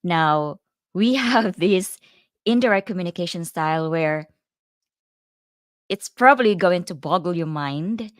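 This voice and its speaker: Low, smooth-voiced Filipina vlogger. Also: female voice, expressive, a little slow, pauses often, no echo. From Philippines. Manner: chatty, speaks straight to viewers and breaks off to greet the live chat. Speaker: host of a livestream. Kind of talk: casual livestream chat.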